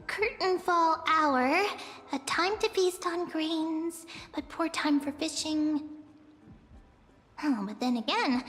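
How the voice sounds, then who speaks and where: A woman's voice: very high-pitched, heavily acted. A woman voicing a small, childlike companion creature in a video game, playful, curious and sing-song. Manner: bubbly, sing-song, expressive. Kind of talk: video game character acting. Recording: reverberant game audio with music.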